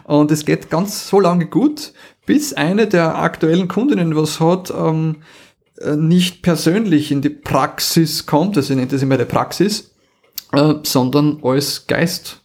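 A man's voice: on the high side, a little slow, a little mellow. A man with an Austrian accent, co-hosting a podcast and reviewing in casual chat, amused and colloquial, often repeating words for emphasis.

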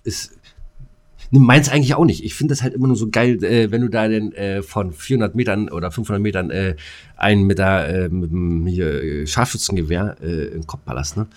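A man's voice: sits low, brisk, a little mellow. A man with a German accent chatting as a podcast co-host in a rambling, slangy way that jumps between thoughts. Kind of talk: casual chat.

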